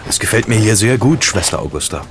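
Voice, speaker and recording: An actor playing an easygoing young night porter in a German radio play, with a casual, colloquial way of talking. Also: male voice, lowish voice, lively intonation, fast, noisy background, close to an everyday voice. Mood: friendly, open.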